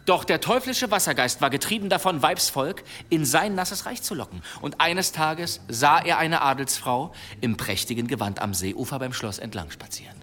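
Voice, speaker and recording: An actor playing a boastful monster hunter telling tales in an archaic, theatrical manner in an audio drama. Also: male voice, fairly high pitch, animated, noisy background, natural voice. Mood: boastful, storytelling. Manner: theatrical, dramatic pauses, savouring his tale. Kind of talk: German audio drama storytelling, archaic wording.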